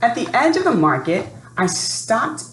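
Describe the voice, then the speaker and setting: A woman presenting and reading a picture book to children in an expressive storytelling style, addressing young listeners directly. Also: a little high. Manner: expressive, asks young listeners questions and pauses. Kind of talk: read-aloud storytelling for children. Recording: roomy sound with faint background noise.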